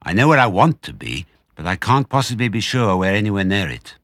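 A clever father fox from a children's storybook, played by a man, proud and commanding yet affectionate. The pitch swings widely as he exclaims to his children. The sound is dry and close. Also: big pitch swings, brisk, a bit bright, no room sound. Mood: earnest, hopeful.

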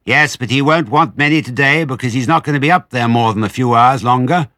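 A man voicing a bossy farmer's wife, loudly calling out and scolding, in a storybook. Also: medium pitch, brisk, dry recording, studio quality, voice pushed up, close to an everyday voice.

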